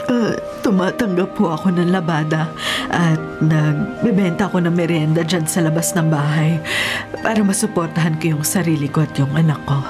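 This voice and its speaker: A woman's voice: sits low, moderate pace, over music, lightly acted. A woman acting in a Filipino radio drama as a remorseful, humble middle-aged mother with a pleading, sorrowful manner.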